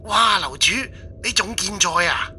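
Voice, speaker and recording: A man's voice: sits high, lively intonation, normal speed, over loud music. A man playing an exasperated, colloquial character on a ghost forum in an audio drama, with a Cantonese accent. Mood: mocking. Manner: colloquial, exclamatory.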